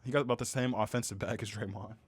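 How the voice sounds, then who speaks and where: A man co-hosting a casual sports podcast, relaxed and joking, often letting his lines trail off quietly. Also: mid-range voice, quick pace, breathy edge. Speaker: podcast co-host.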